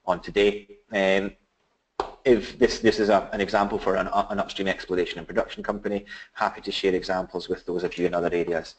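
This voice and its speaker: Low-voiced Scottish man presenting a webinar. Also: flat delivery, breathy edge, rough recording. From Scotland. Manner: halting, many fillers and restarts.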